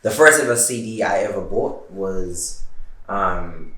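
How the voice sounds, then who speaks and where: A man's voice: low-pitched, slow pace, bright, forceful tone, reverberant, poor audio. A young man from Zimbabwe, a rapper, chatting in a casual interview in a laid-back way with lots of "like" fillers. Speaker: rapper guest. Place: living-room studio set with couches.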